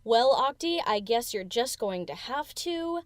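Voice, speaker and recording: A woman's voice: on the high side, expressive, a little slow, dry recording, clean studio sound. A woman playing a brash, cheeky female DJ pony who talks in a casual, cocky, teasing way in an audio drama. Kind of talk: fan audio drama character acting.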